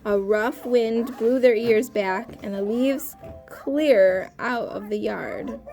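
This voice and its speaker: Slow, higher-voiced woman reading a storybook. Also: gravelly, soft background music. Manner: expressive, pausing to ask questions. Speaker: teacher reading aloud to a class. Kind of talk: storybook read-aloud for young children.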